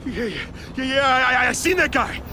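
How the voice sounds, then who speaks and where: An actor playing a cowardly, bossy small-time American thug in a video game, slangy and prone to stammering. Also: male voice, very high-pitched, animated, normal speed, noisy background. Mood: nervous, eager.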